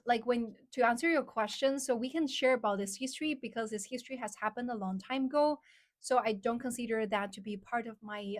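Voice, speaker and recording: A young woman from China with a Chinese accent, chatting casually on a livestream and answering viewers' questions, with lots of "like" fillers. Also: fairly high pitch. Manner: chatty, many "like" fillers, reads out comments. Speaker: live streamer.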